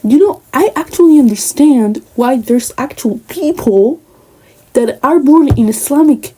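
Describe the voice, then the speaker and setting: A woman's voice: high-pitched, lively intonation, unhurried, warm tone, husky, rough recording. A young woman from Spain with a Moroccan family background, talking earnestly and casually to camera with many asides. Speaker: vlogger. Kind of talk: personal talk to camera.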